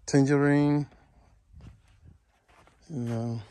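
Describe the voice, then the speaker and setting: A man giving a casual outdoor walkthrough of his farm plot, talking haltingly with many fillers and pleading with viewers to subscribe. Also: medium pitch, fairly even intonation, dark tone. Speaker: vlogger giving a farm tour.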